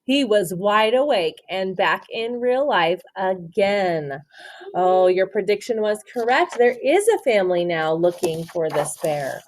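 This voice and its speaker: A woman teacher reading a picture book to children expressively, pausing to question and explain to her young listeners. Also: mid-range voice, lively intonation. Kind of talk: interactive children's storybook read-aloud.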